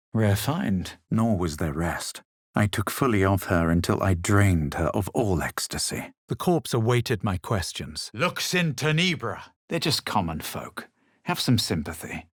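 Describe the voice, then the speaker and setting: An actor playing a haughty, self-assured male adventurer in a fantasy video game, speaking measured, formal scripted lines with a superior manner. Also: lowish voice, slightly rough, no room sound, studio quality, exaggerated voice. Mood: composed, superior, then commanding, incanting. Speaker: video game player character.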